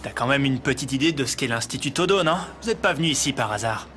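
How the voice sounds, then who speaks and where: A man's voice: medium pitch, lively intonation, fast talker, noisy background, close to an everyday voice. An actor playing a serious young male martial arts student who also narrates, in a French anime dub. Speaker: narrator and fighter. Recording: music behind.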